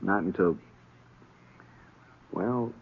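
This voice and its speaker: A man's voice: low-pitched, drawn-out words, gravelly, husky, heavily acted. An actor playing a bitter, defensive young condemned man in a 1950s radio western, with rustic, folksy speech. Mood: anguished confession. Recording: muffled old radio recording, faint music.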